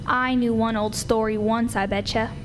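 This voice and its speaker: Woman as a high-voiced cheeky little girl. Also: steady tone, normal speed, lightly acted. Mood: teasing. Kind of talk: old-time radio comedy acting. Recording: noisy live stage recording.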